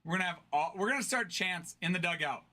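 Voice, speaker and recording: A man with a high, bright voice hosting a card-breaking stream. He banters casually and breaks into laughter often. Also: animated, fast talker.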